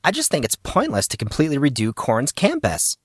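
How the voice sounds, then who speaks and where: A man's voice: mid-range voice, huge pitch swings, brisk, breathy edge, dry recording, studio quality, voice pushed up. A man voicing an irritable, sneering teenage rich girl with a snappy, dismissive manner in an audio story. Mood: grumbling.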